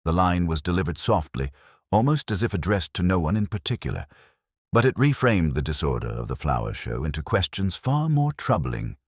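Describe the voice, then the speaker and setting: A man narrating a scripted mystery story in a measured, dryly wry manner. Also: sits low, husky, no echo, studio quality.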